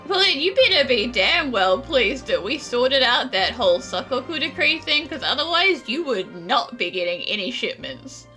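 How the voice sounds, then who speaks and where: A woman's voice: high-pitched, sing-song, moderate pace, few pauses, sharp tone, soft background music. A woman giving casual, blunt, teasing reaction commentary as she plays through a game. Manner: teasing, blunt, laughs readily. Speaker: gaming streamer playing through a game.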